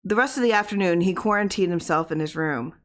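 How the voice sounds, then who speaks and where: A woman reading a literary horror story aloud in expressive audiobook narration. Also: lowish voice, fast. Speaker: audiobook reader.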